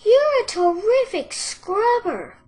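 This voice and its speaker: A woman's voice: very high voice, very animated, slow pace, darkish tone, voice pushed up, heavily acted. A woman voicing a small crafty piglet in a storybook, meek and coaxing with a squealing streak. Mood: flattering. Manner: sighing, coaxing, mock-helpless.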